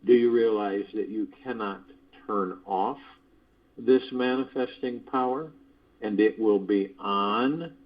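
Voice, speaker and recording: A man with a fairly low, husky voice guides a meditation at a very slow pace. His phrasing is deliberate and measured, with pauses between thoughts. The sound is dull and lo-fi, as on a conference call. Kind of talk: guided meditation, spiritual motivational talk. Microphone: phone conference call.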